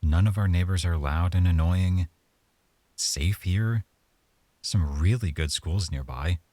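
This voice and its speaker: A man playing an affectionate, hesitant young boyfriend in an intimate ASMR roleplay, speaking softly and haltingly as he thinks aloud. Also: low-pitched, dry recording. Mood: tender, reassuring.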